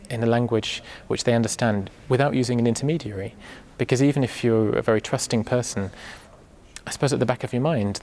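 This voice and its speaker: A man giving measured, reflective answers in an interview. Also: mid-range voice, darkish tone.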